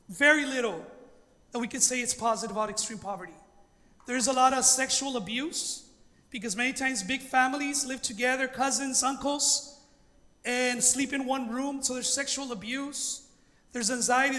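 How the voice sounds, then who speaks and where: High-voiced male pastor in an echoey hall. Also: moderate pace, sharp tone. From Los Angeles, United States. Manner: earnest, emphatic, with rhetorical questions. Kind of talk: informal public presentation. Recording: echoey hall with long reverb.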